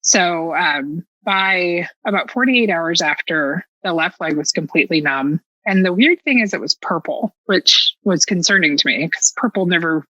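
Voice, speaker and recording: Bright-voiced woman, dull lo-fi sound. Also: lowish voice, moderate pace, few pauses, no echo. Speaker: podcast guest telling a personal story. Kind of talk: animated casual storytelling.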